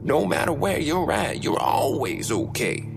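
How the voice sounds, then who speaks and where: A man voicing an extremely old, eccentric cult elder in a comedic audio story, with a breathy, creaky, drawn-out and conspiratorial delivery. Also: fairly high pitch, very animated, pitched above the performer's own voice, heavily acted. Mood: calm explaining. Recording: music bed under the voice. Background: music.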